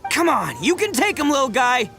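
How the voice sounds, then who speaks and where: An actor playing a brash, big-hearted young gang leader in a video game, boisterous and colloquial with boyish bravado. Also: male voice, extremely high pitch, huge pitch swings, normal speed, creaky, a bit bright, full character voice. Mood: excited, boisterous. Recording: faint game music behind.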